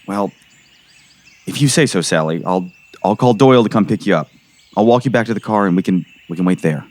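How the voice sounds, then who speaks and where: Gruff middle-aged sheriff, played by a man: low, animated delivery, skeptical and pushy, audio drama. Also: a little fast, natural voice. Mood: grave, concerned. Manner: exasperated, sarcastic, pressing interrogator.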